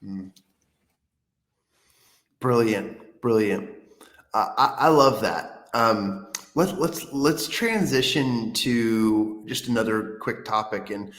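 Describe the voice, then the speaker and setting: A man hosting an interview, talking in rambling, halting sentences with many restarts. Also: fairly low pitch, reverberant. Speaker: podcast host. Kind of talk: casual interview.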